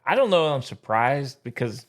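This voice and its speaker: A man co-hosting a podcast in casual sports chat, ranting with exasperation and jumping up in pitch when worked up. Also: sing-song, a little fast. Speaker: podcast co-host.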